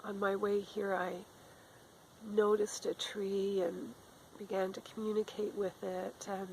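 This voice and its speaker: A middle-aged woman with a cold, speaking in a raspy, often whispered voice, giving a gentle spiritual talk outdoors in the woods. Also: medium pitch, level tone, measured pace, a little hiss or hum, rough recording. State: has a cold. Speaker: spiritual channeler. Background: faint outdoor ambience with a crow.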